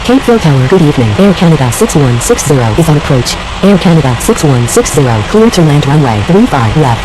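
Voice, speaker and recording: A woman's voice: sits low, very animated, quick pace, music in the background, low-quality recording. A woman delivering scripted air traffic radio calls in a simulation game. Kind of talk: scripted aviation radio phraseology.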